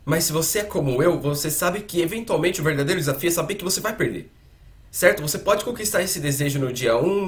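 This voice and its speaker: A Brazilian man dubbing a psychiatrist who explains addiction in an informal, conversational talk, speaking directly to the listener. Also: fairly high pitch. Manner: addresses the listener directly, informal, with rhetorical questions.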